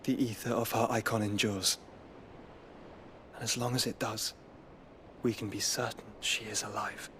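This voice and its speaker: A man's voice: fairly low pitch, flat delivery, moderate pace, pauses often, sharp tone, a little hiss or hum. An actor playing a solemn young nobleman in a fantasy game, formal and grave. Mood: grave reassurance. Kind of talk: dramatic fantasy game voice acting.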